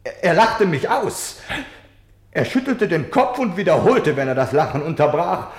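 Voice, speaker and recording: An actor playing a defiant, self-justifying German man in a radio play, declaiming theatrically between hushed confiding and shouting. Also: fairly high pitch, lively intonation, normal speed, roomy sound, natural voice. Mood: bitter, agitated.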